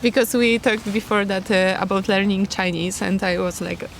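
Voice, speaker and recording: A woman's voice: mid-range voice, fairly even intonation, moderate pace, creaky. Polish woman tourist on the street. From Poland. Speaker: interviewee, tourist. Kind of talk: street interview. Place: city street outdoors. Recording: street noise with traffic.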